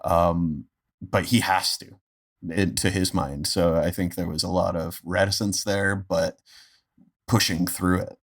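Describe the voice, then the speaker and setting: A man speaking as a podcast guest in casual conversation, thinking aloud haltingly and circling back over his phrases. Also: sits low, fairly even intonation, creaky. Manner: halting, thoughtful, repeats and restarts phrases. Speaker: podcast guest, amateur voice actor. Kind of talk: casual conversation about a performance.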